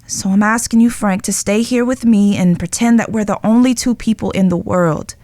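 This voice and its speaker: A woman playing a guilt-ridden, lovestruck young outlaw spy in an audio drama, speaking earnestly and emotionally with pauses. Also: medium pitch, warm tone, no room sound, lightly acted. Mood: tender, pleading.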